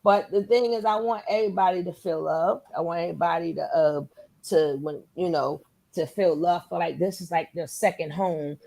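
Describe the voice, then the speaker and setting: A woman with a hoarse, nasal voice hosts a casual group chat, talking in a slangy, familiar way and repeating phrases for emphasis. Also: on the low side, fairly even intonation, normal speed, dark tone, no echo. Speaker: channel host.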